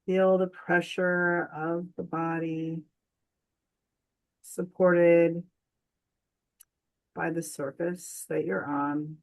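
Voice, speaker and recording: Very slow, gentle woman guiding meditation. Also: fairly low pitch, flat delivery, poor audio. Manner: gentle, soothing, unhurried with long pauses. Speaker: meditation guide. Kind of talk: guided meditation.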